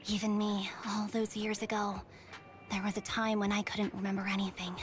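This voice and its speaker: A woman's voice: mid-range voice, little pitch movement, normal speed, natural voice. A woman playing an earnest, caring young woman in a video game.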